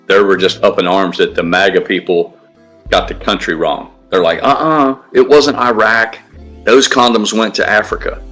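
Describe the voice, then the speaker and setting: A man's voice: on the low side, fairly even intonation, normal speed, fairly bright tone, faint music behind. A man co-hosting a casual livestream, talking in a blunt, profane, ranting way. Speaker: livestream co-host. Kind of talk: casual stream chat.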